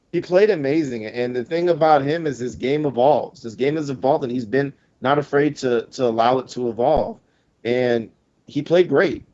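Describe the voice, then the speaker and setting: A man's voice: mid-range voice, warm tone. Enthusiastic man on a lo-fi podcast. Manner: enthusiastic, loose and repetitive. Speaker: podcast co-host. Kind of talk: casual sports chat. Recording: slightly dull lo-fi podcast audio.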